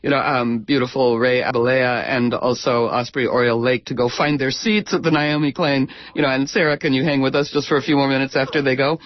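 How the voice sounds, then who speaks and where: A man's voice: mid-range voice, steady tone, fairly quick, few pauses, no echo. He wanders from thought to thought, stacking "you know" and "um" between bursts of enthusiasm as he talks with his guests. His voice sits in an ordinary middle register. It comes through dry and dull, like older broadcast audio. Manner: digressive, full of fillers and restarts. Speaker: radio host. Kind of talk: rambling, effusive radio chat. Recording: dull, boxy radio audio.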